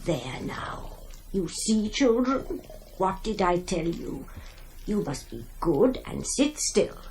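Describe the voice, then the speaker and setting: Casting: elderly rustic grandmother, scolding and fond, highly animated storyteller for radio drama. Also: slow, slight background noise. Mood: fussing, coaxing. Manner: rustic old-fashioned phrasing, exclaiming and coaxing. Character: old country granny, fussing, scolding yet fond storyteller. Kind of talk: radio horror drama acting.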